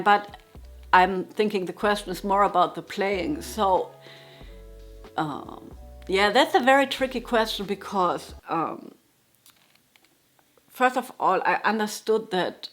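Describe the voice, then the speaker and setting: German-accented woman musing candidly. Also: mid-range voice. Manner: thoughtful, candid, self-deprecating, chuckles now and then. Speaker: jazz guitar teacher and YouTuber. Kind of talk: reflective casual monologue. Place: her home room.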